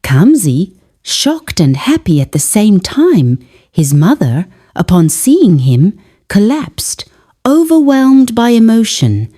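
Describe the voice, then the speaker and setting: Slow, expressive woman storyteller. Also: medium pitch. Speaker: storyteller, narrator. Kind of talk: scripted folktale narration.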